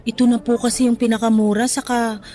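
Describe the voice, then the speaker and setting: Radio drama: actress as a high-voiced young mom in her twenties, polite, hurt, pleading. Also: little pitch movement, quick pace, dark tone, lightly acted. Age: 20s. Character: young new mother, hurt but polite daughter.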